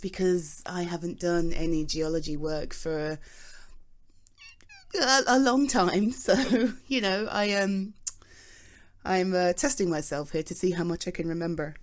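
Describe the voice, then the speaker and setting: A woman's voice: fairly low pitch, natural voice. A British woman playing a chatty, flustered geology nerd in an intimate audio roleplay, rambling fondly and animatedly as she explains things to someone close. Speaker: ASMR roleplay voice performer.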